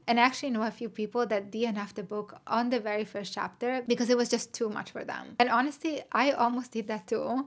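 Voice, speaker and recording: A woman in her thirties with an Italian accent talks casually to her camera, candid and self-deprecating. Her voice has a gravelly, creaky texture and sits at an ordinary middle pitch. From Italy. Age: 30s. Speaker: vlogger.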